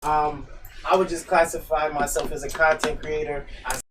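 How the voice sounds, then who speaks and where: A man's voice: a little high, flat delivery, moderate pace. A man in his twenties chatting casually and telling stories in slang as a podcast guest on a bus. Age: 20s. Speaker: podcast guest, content creator. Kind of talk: casual banter. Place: inside a bus. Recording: distant mics, loud bus noise.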